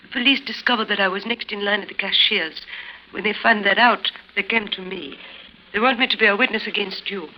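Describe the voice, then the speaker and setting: An actress playing a determined young European woman who coolly schemes, formal and deliberate, in a 1950s radio drama. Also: mid-range voice, bright, forceful tone, natural voice. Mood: cool, insistent. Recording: noisy 1950s radio recording.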